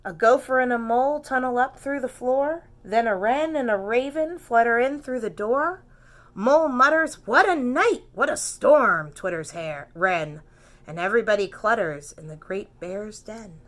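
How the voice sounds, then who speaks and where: A woman teacher reading a picture book aloud to preschoolers, gentle and unhurried, dropping to soft whispers and inviting the children to join in. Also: on the high side.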